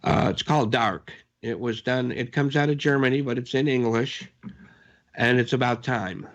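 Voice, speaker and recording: A man's voice: mid-range voice, fairly even intonation, normal speed. A man hosting a radio talk show, chatting casually and rambling in an easygoing way.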